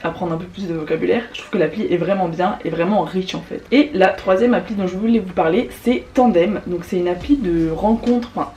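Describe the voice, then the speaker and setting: A woman's voice: on the low side, a little fast, few pauses, a little mellow, recorded from a distance, highs cut off. A young French woman chatting casually in a vlog, demonstrating apps, full of fillers, slang and asides. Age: young adult. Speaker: YouTuber recommending apps. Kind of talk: casual vlog chat with screen demo.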